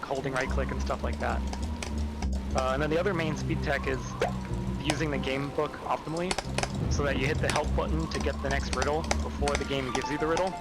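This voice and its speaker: A man commentates a speedrun at a brisk pace. He explains game techniques in a plain, matter-of-fact way. Loud game music plays behind him, with keys and clicks. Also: medium pitch. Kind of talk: explanatory live gameplay commentary.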